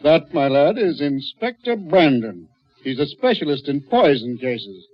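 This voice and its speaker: A jovial, blustering older British major in a vintage radio play. He is avuncular and bossy, with a fairly high voice for a man. The recording is dull and lo-fi. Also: big pitch swings, measured pace. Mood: confiding. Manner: jovial, blustering, avuncular, old-fashioned phrasing. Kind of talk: old-time radio drama acting.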